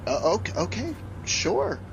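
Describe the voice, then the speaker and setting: Man playing a slow, stammering, bashful receptionist. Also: sits high, big pitch swings, vocal fry, lo-fi sound, a little hiss or hum, heavily acted. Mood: flustered, shy. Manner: stammering, hesitant. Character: shy, nerdy, flustered male receptionist with a crush. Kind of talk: audio drama dialogue.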